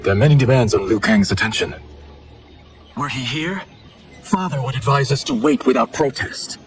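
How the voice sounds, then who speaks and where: A man's voice: medium pitch, normal speed, over music, lightly acted. A man playing a loyal younger brother in a warrior clan in a video game, earnest and reasoning. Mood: earnest, reproving. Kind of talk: cinematic video game acting.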